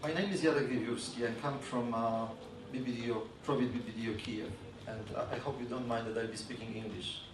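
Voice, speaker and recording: A man with a Polish accent giving a conference talk on advertising, halting and full of fillers and restarts. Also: mid-range voice, fairly even intonation, background noise. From Poland. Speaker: advertising agency speaker. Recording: echoey room from a distance.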